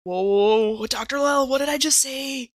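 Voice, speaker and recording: A woman's voice: high voice, moderate pace, dry recording, clean studio sound. A woman chatting casually as a player in a tabletop roleplaying game.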